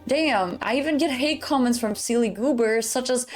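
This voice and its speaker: A woman's voice: on the high side, normal speed. A woman VTuber narrating a scripted video, addressing her viewers in a chatty way. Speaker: VTuber and content creator. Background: background music.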